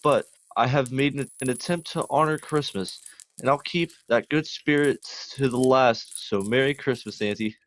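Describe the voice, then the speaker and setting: A young man playing a cheerful, earnest, good-hearted nephew in an amateur radio play, pleading warmly with his relative. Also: medium pitch, normal speed, lightly acted.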